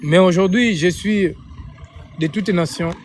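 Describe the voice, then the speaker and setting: A man's voice: high-pitched, a little slow, a little hiss or hum. A man from Angola with an Angolan Portuguese accent, a native Portuguese speaker, preaching and praying informally on a live stream, often repeating and rephrasing his phrases.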